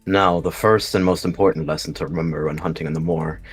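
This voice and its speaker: A man voicing a stern but gentle adult male warrior cat, a mentor giving measured instruction in a roleplay. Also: low-pitched, steady tone, soft background music. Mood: gentle, instructing.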